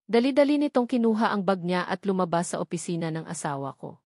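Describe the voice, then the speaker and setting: A woman's voice: on the low side, fast talker, a little mellow, no room sound, clean studio sound. A woman reading a first-person novel as scripted, dramatic story narration.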